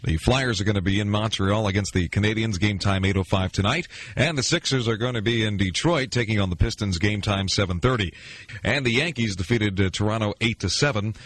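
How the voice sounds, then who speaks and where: A man's voice: fairly low pitch, a little fast, a bit bright, slight background noise. An American man hosting a 1980s AM radio show in announcer patter, introducing items as a weekend DJ. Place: radio studio. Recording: 1980s AM radio aircheck with music.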